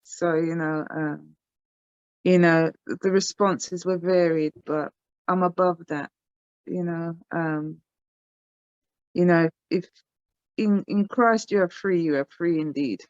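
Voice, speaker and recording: A woman from England, a singer, being interviewed in a calm, self-assured way and often repeating herself. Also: lowish voice, slow, long pauses, no room sound. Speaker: singer, guest. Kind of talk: casual interview on a video call. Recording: dry, dull call audio.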